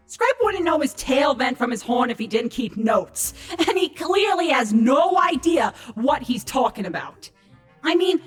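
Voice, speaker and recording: A woman voicing an indignant, sarcastic young female changeling in a dramatised story reading. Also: high voice. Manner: sarcastic, exasperated. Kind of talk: dramatised fan fiction reading.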